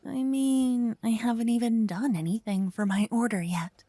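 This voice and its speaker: Breathy, earnest young paladin girl played by a woman. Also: on the high side, fairly even intonation, no echo, natural voice. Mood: bashful, fond. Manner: soft, breathy, gently flustered, slightly halting. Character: earnest, bashful young female paladin, affectionate fantasy adventurer. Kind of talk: scripted ASMR roleplay addressed to the listener.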